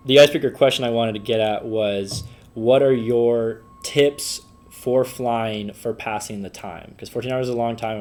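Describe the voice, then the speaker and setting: An eager young man hosts a casual podcast chat in a lowish voice. He is from the Bay Area and talks with plenty of ums and likes. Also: darkish tone. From San Francisco Bay Area. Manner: eager, chatty, filler-heavy. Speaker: podcast host.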